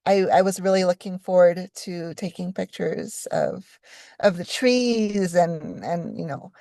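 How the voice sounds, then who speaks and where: A middle-aged woman from New Mexico delivers a conference keynote in a fairly low voice. She talks in an unhurried, reflective way, often pausing on "um" and restarting phrases. The sound is dry, with little room echo. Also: gravelly, warm tone. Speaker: keynote speaker, professor.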